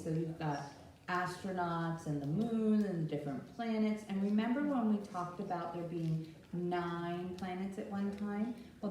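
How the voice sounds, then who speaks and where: Echoey, slow-paced woman leading children's story time. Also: medium pitch, a little mellow. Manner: simple, instructional, addressing young children directly. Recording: echoey room, distant mic, faint noise.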